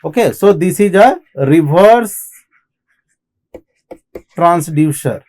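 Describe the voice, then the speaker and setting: A man with an Indian accent giving a technical lecture as an instructor, explaining in halting phrases and often repeating himself. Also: on the high side, lively intonation, a little mellow. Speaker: engineering lecturer. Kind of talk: classroom lecture on technical material.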